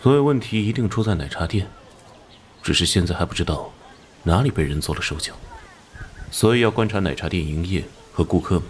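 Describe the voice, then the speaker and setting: An actor playing a hot-tempered police squad captain, brusque and businesslike, in a Chinese animated drama. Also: male voice, deep voice, fairly quick, a little hiss or hum.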